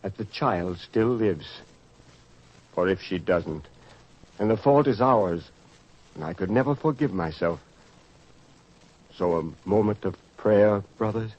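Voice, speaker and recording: Earnest, husky-voiced apostle. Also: male voice, sits low, a little mellow, full character voice. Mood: solemn, remorseful. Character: earnest, urgent apostle of biblical times. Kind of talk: old-fashioned radio drama acting. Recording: dull lo-fi radio drama recording.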